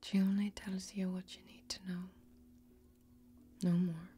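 A young woman playing a wry, hospitable fantasy warrior who keeps a tavern, speaking in hushed, drawn-out ASMR role-play. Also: lowish voice, little pitch movement, faint music behind, lightly acted. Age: young adult. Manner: hushed, slow, drawn-out storytelling. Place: small indoor set, close up. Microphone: close microphone.

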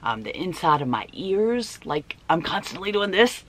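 A woman in her forties with a hoarse voice, chatting casually and emphatically to camera like a shopping vlogger. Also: fairly low pitch, very animated, normal speed. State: hoarse voice from allergies. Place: small indoor room at home.

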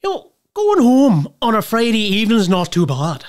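Boozy Irish wanderer, colloquial and nostalgic, stage monologue with animated, wide-ranging pitch. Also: female voice, normal speed. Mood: wry, tipsy. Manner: wistful, colloquial rambling with bursts of playful mimicry. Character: boozy, nostalgic Irish drinker nicknamed the captain, rambling home. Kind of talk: theatrical dramatic monologue.

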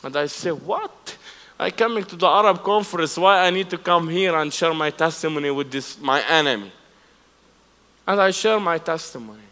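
A man in his forties with an Arabic accent speaks in a high, bright voice, telling his story from a church stage. His English is broken, and he acts out both sides of remembered conversations. The sound rings with long hall reverb. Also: normal speed. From Palestinian, raised in Lebanon. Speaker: guest speaker. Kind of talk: testimony preached on stage. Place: church stage with live audience.

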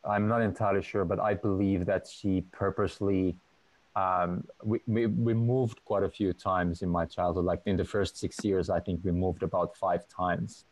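A man in his thirties who grew up in Finland, a guest talking on a video call at home, halting with many restarts. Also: sits low, little pitch movement, darkish tone, rough recording. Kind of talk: casual video call chat. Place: home room.

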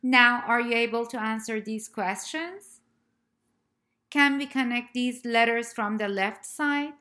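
A woman narrating a scripted alphabet lesson over slides, naming each letter slowly and carefully. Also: on the high side, pushed and bright. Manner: slow, careful, clearly spelled-out letter names. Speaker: language teacher.

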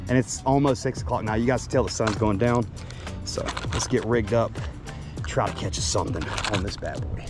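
A man, chatting casually to an action camera while fishing by a lake, excitable and quick to shout. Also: lowish voice. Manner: excitable, talks while tying lures, quick bursts of shouting. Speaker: fishing vlogger. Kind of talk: casual outdoor chat to camera. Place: outdoors by a lake.

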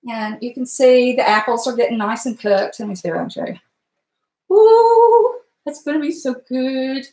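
A chatty woman in her forties with a Blue Ridge Virginia drawl, rambling warmly to her live-stream viewers as she stirs a pot. Her voice sits a little high, and the kitchen around her rings with echo. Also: normal speed. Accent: Appalachian Virginia. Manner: folksy, rambling, talks to chat viewers. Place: home kitchen at night. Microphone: webcam at stove. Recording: echoey kitchen.

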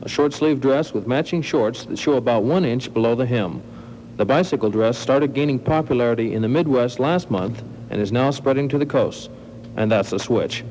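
A man's voice: mid-range voice, normal speed, darkish tone, poor audio. A man with a mid-range voice reads a scripted radio feature. The vintage AM recording carries faint noise.

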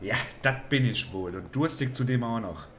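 An actor playing a gruff, crude, bossy male police inspector in a parody detective audio drama. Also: mid-range voice, normal speed, a bit bright, lightly acted. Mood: brash.

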